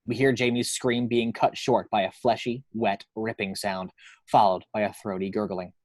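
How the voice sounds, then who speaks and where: A man's voice: lowish voice, flat delivery, normal speed, no room sound. A man reading scripted action descriptions from a screenplay as narrator. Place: at home.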